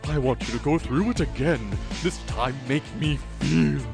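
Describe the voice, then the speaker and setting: Pompous creaky-voiced theatrical director. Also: male voice, fairly high pitch, normal speed. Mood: scornful, dramatic. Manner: pompous, exasperated. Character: scornful, theatrical male drama director demanding more feeling. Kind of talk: audio drama acting. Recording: music clearly behind.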